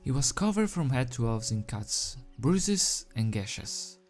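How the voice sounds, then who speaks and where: A man's voice: medium pitch, big pitch swings, slow. A man reading fan fiction aloud as an amateur storybook narrator. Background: soundtrack music.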